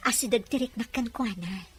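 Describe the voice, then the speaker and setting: A woman's voice: a little high, breathy edge, exaggerated voice. A woman playing a good-natured caretaker in an audio drama, explaining things patiently and reassuringly.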